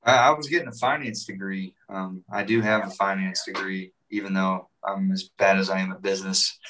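A man's voice: sits low, fairly even intonation, fairly quick, bright, forceful tone, far from the mic, a little hiss or hum, low-quality recording. A young man from West Virginia with a West Virginian accent, chatting casually as a musician guest, rambling with lots of likes and you-knows. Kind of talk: casual interview chat.

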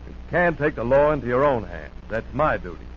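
A man's voice: mid-range voice, animated, fairly quick, close to an everyday voice. An actor playing a resolute, commanding lawman in a 1940s radio drama. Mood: firm, urgent. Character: resolute lawman leading a manhunt. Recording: muffled noisy 1940s radio recording.

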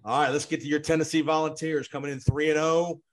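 A man hosting a sports podcast, interviewing guests in an energetic, quick manner, often repeating words. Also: fairly high pitch.

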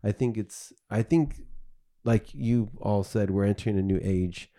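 Male podcast host, low mellow voice, rambling casual talk. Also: unhurried. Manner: rambling, thinks aloud with restarts.

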